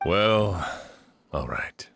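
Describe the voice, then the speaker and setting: An actor playing a weary, fair-minded sheriff in a video game, speaking with measured authority. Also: male voice, low-pitched, animated, creaky, fairly bright tone, a little hiss or hum, exaggerated voice. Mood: measured, accepting.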